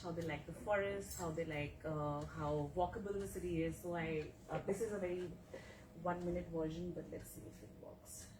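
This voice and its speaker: A woman giving a casual, chatty presentation at a welcome event, with fillers and asides. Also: low voice. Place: office meeting room. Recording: echoey room, mic far off, background noise.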